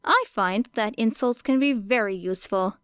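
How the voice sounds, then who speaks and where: A woman's voice: medium pitch, normal speed, smooth, no echo, studio quality, natural voice. A woman voicing a brash, teasing young female street fighter who coaches bluntly and casually in an audiobook. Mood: cocky, teasing. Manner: blunt, cocky, casual, sweary. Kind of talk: audiobook character dialogue.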